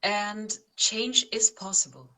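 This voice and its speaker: A woman from Austria, a guest sharing her experience over a video call, earnest and halting, repeating words as she searches for them. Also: mid-range voice, expressive, sharp tone. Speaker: guest, former program participant.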